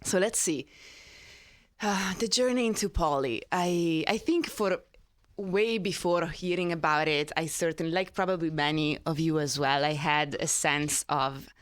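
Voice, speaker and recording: A woman's voice: low voice, measured pace, dry recording, studio quality. A woman with an Italian accent, telling her personal story as a panel speaker with self-deprecating humour. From Italy. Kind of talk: personal storytelling to a live audience.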